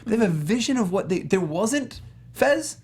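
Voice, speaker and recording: A panelist in a casual talk show discussion, with a voice of medium pitch. The delivery is earnest and emphatic, running on at length as thoughts build up. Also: big pitch swings, normal speed, darkish tone, breathy edge. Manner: earnest, emphatic, long-winded. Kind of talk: casual film and game discussion.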